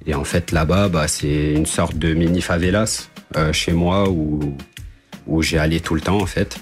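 A man's voice: extremely low pitch, fairly even intonation, normal speed, soft background music. A young man, an independent rapper with a Portuguese family, answering interview questions casually, hesitant with many fillers and restarts. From France, Portuguese family.

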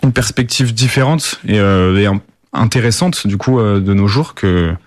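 A man's voice: fairly low pitch, moderate pace. A young man from the Paris suburbs, answering interview questions casually with many fillers and restarts. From Paris suburbs, France. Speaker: rapper, guest.

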